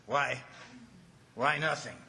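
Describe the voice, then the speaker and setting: An actor playing an anxious, fascinated commissioner who narrates a jungle ordeal in old-time radio drama style, dramatic and halting. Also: male voice, medium pitch, vocal fry, loud music behind the voice. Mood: brooding dread. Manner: dramatic, hesitant and halting under worry.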